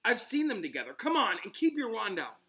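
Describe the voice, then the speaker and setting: A man's voice: high-pitched, wildly swooping pitch, a little fast, fairly bright tone, voice pushed up, full character voice. A man reading a storybook aloud, voicing a brave, determined teenage wizard boy in an urgent, terse way. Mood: grim.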